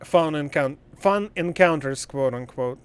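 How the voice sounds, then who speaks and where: A man's voice: a little high, unhurried, clear, resonant tone. A man livestreaming a game and chatting casually, in a blunt, joking and profane way. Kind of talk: casual livestream chat while gaming.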